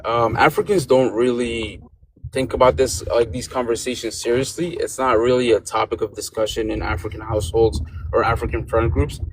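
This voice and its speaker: A man's voice: medium pitch, fairly even intonation, brisk, a little hiss or hum, poor audio. A man from Sudan, Arabic his first language, speaking English with an accent, hesitant with many fillers as a call-in guest. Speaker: guest caller. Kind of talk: debate call-in.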